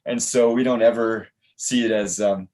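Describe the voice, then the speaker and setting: A man's voice: fairly low pitch, steady tone, normal speed, a little mellow. A man, a performer guest on a podcast, talking in an easygoing, rambling way. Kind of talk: casual conversation.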